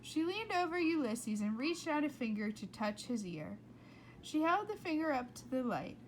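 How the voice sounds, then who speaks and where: A woman reading a children's book aloud with expressive, playful and dramatic narration. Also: high voice, moderate pace, a little hiss or hum, rough recording. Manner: dramatic, playful delivery with whispers and asides. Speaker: read-aloud storyteller.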